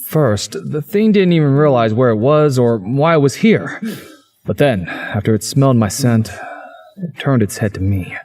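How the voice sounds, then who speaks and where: A man's voice: mid-range voice, animated, normal speed, darkish tone. A man narrating a visual novel in the first person, as a story's narrator.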